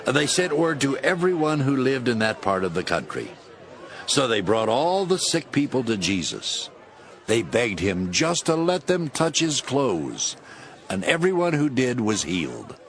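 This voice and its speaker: A man narrating scripture as a scripted, dramatic story in a measured storytelling manner. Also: mid-range voice, very animated. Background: instrumental music.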